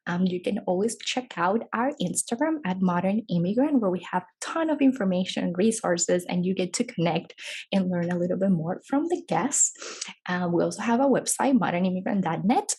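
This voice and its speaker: A woman presents a podcast in a chatty, conversational style. Her voice sits at a medium pitch with a husky edge. She speaks with effusive enthusiasm as host. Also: darkish tone.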